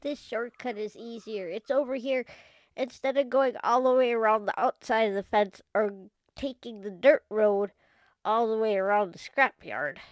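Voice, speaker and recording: A woman's voice: fairly high pitch, normal speed, no room sound, natural voice. A woman playing an earnest young scrapyard girl in an old-time radio mystery, chatty and naive with folksy kid phrasing. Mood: helpful, confident.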